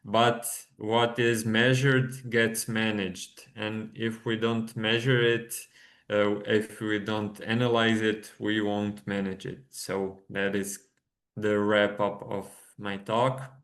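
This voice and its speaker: A man from Croatia presents research over a video call in a slow, halting way, pausing often on filler sounds. His low voice stays flat and even, with little rise or fall. He speaks into a computer microphone. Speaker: conference presenter. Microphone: computer mic on a video call.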